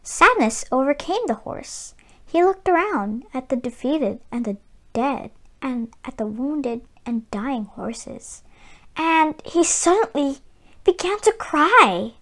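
Slow, high-pitched woman reading a storybook. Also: very animated, fairly bright tone. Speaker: storyteller and read-aloud channel host. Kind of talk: children's picture book read-aloud.

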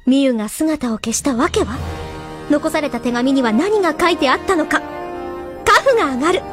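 A woman's voice: sits high, animated, moderate pace. A woman narrator reading a scripted radio drama introduction and recap. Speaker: announcer narrator. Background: music.

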